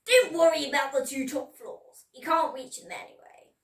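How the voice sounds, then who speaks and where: A woman's voice: high voice, lively intonation, moderate pace, distant mic, pitched above the performer's own voice. A woman voicing a bossy, blustering old duke in a storybook, imperious and exclamatory. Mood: firm, cross. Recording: echoey room, away from mic.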